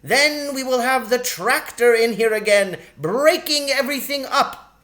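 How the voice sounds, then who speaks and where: A man voicing a tiny, sharp-witted, short-tempered lady shrew from a storybook in a brisk, exclaiming manner. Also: very high voice, voice pushed up, heavily acted. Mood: indignant, warning. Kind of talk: storybook character voice in an audiobook reading.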